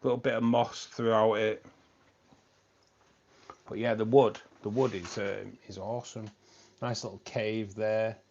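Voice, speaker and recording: A man's voice: on the low side, flat delivery, a little slow, pauses often, a little mellow, dull audio. A man hosting a live stream from a room with fish tanks, chatting casually and rambling, often repeating himself.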